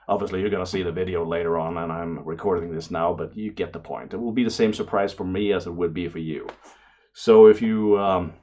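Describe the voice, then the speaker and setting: A middle-aged man talking casually and excitedly as he hosts an unboxing video, rambling along with frequent exclamations. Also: on the low side. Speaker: video host.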